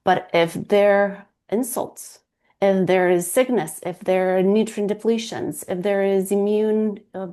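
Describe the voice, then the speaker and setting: A woman's voice: medium pitch, steady tone, unhurried, warm tone. A woman in her thirties from Romania with a Romanian accent, a guest fertility coach talking earnestly in an interview, often restarting as she searches for words. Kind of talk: casual interview talk.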